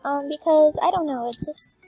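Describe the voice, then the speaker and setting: A teenage girl chatting casually while she plays a game, high-pitched and bubbly, with lots of ums. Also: normal speed, warm tone, faint music behind, rough recording. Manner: bubbly, full of filler ums, exclaims at game fails. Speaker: gaming YouTuber. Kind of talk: casual voice-reveal chat while gaming.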